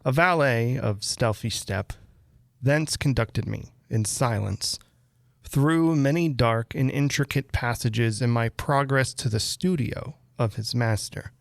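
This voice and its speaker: Male narrator for classic Gothic fiction: unhurried pace, creaky low-end fry, measured phrasing. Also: no room sound, clean studio sound. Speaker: narrator reading a classic Gothic short story aloud. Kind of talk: measured literary audiobook narration.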